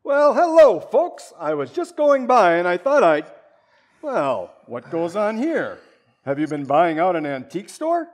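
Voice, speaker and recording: A man's voice: high voice, huge pitch swings, unhurried, full character voice. A man playing a relentlessly salesy announcer in an old-time radio comedy, with upbeat, polished patter. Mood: cheery.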